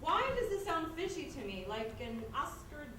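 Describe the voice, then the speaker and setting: A woman playing a dramatic, high-strung role in a theatrical screwball comedy reading. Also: very animated, normal speed, fairly bright tone. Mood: wary, earnest. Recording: very echoey hall, distant, noisy.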